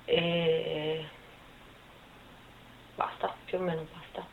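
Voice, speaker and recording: A woman in her twenties answering interview questions casually, in a hesitant, halting way. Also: low voice, little pitch movement, gravelly. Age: 20s. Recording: phone-like, thin sound with faint noise.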